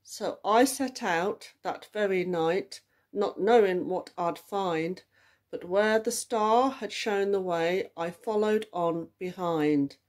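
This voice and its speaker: A woman reading a ballad poem aloud in a measured recitation. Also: medium pitch. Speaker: church group leader reading aloud.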